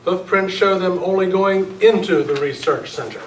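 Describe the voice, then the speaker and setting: Man acting plain-spoken police chief, high-pitched, matter-of-fact, radio play reading, distant recording. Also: lively intonation, moderate pace. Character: plain-spoken male campus security chief. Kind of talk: amateur old-time radio script reading. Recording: roomy, distant camera mic, faint noise.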